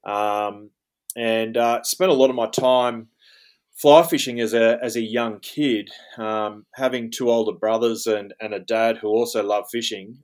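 A man's voice: on the low side, fairly even intonation, a little slow, darkish tone, low-quality recording. A man around forty with an Australian accent, talking at an easy pace as an interview guest, filling his sentences with "um" and "you know". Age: 40s. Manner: rambling, lots of fillers like um and you know. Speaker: guest, fly fishing guide. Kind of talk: relaxed interview talk.

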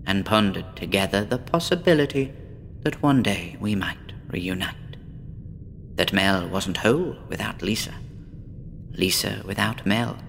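A man playing a despondent, withdrawn woman patient in a horror audio drama, speaking in a brooding, deliberate, theatrical way. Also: on the low side, gravelly, a bit bright, slight background noise, exaggerated voice. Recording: long reverb with faint background ambience.

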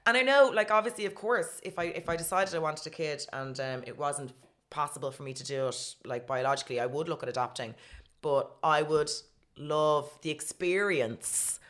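A low-pitched Irish woman in her late thirties talks in a relaxed, candid chat. She tells her stories frankly and pokes fun at herself as she goes. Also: moderate pace. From Ireland. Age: 30s. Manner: frank, self-mocking, animated storytelling.

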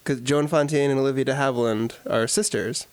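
A man's voice: mid-range voice, steady tone, smooth, dry recording. A young man co-hosting a casual movie podcast, chatting and offering film history trivia. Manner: informative, quick with film trivia. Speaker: podcast co-host.

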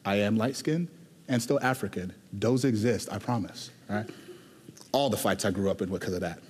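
Pastor preaching in a reverberant hall. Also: male voice, lowish voice, husky. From Houston, Texas. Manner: conversational and animated, shifting between quick asides and slow emphatic lines. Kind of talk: sermon.

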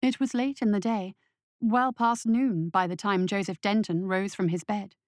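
A woman narrates horror fiction like an audiobook. Her voice is medium in pitch and measured, with dramatic delivery. The sound is very dry, with little room. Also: steady tone, no echo. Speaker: story narrator.

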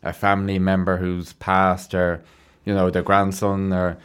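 Irish man, deepish voice, chatty and encouraging local radio presenter for casual interviews and links. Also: completely flat delivery, unhurried, clean tone. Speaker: radio show host. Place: radio studio. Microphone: studio broadcast mic.

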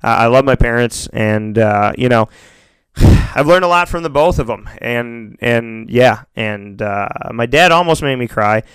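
American guy hosting radio, super clear voice, close dry sound, rambles and interrupts himself. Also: mid-range voice, animated, measured pace, fairly bright tone, dry recording. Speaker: radio show host. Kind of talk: casual radio chat.

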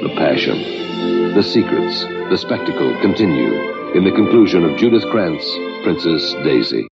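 A man with a very low, gravelly voice narrates a TV promo with portentous weight. The sound is dull and lo-fi, with loud dramatic music swelling underneath. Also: very animated, a little slow. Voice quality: deep, gravelly announcer voice. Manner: portentous, building suspense. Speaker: trailer announcer. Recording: dull lo-fi TV audio.

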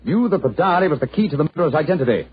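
Shrewd gentleman detective, precise n commanding, swoopy highish voice, muffled old radio play. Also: animated, racing, breathy edge. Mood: commanding, assured. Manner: precise, authoritative, formal. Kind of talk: old-time radio acting.

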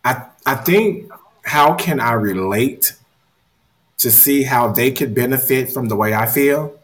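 Man, earnest, animated, motivational chat, repeats words, mid pitch. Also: a little slow. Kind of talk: casual motivational discussion.

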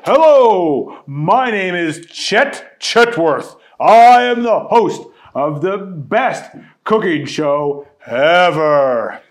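A man voicing a loud, grinning male game show host with a booming showman delivery. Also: expressive, drawn-out words, full character voice. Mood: showy.

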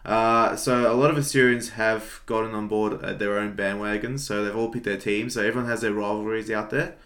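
A man's voice: on the low side, steady tone, brisk, a bit bright. A young man from Melbourne with an Australian accent, chatting casually and dryly as a podcast co-host.

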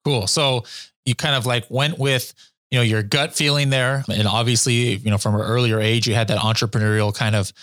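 A man's voice: mid-range voice, steady tone, fairly quick, fairly bright tone, dry recording, studio quality. A man hosting a podcast, asking questions in an upbeat, conversational way. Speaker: podcast host. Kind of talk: podcast interview.

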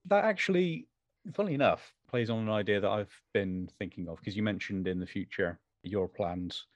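A man's voice: on the low side, normal speed, no room sound, highs cut off. A man with a British accent chatting casually as an interview guest, discursive and modest in his way of talking.

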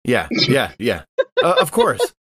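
A man playing a tabletop game, casually improvising a description of what his character does, haltingly, searching for words. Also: mid-range voice, sing-song, a bit bright.

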